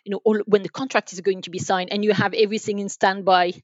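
A woman giving explanatory interview answers as a podcast guest, halting and repeating words as she goes. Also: medium pitch, flat delivery, no room sound, lo-fi sound. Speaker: podcast guest, enterprise sales manager.

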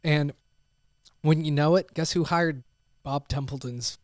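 A man's voice: a little high, steady tone, unhurried, a little mellow, no echo, studio quality. A man hosting a talk podcast who chats casually and reads a sponsor plug in an upbeat way. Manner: brief agreeing replies, upbeat when plugging the sponsor. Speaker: podcast host.

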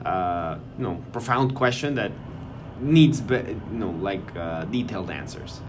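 A man's voice: lowish voice, lively intonation, unhurried. A man in a casual academic conversation, speaking hesitantly, repeating words and using many fillers. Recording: noisy background hiss.

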